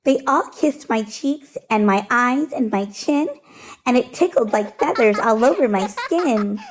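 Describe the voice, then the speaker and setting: A woman hosting storytime, reading a rhyming picture book aloud in an expressive, rhythmic, sing-song way. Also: fairly high pitch, measured pace, noisy background.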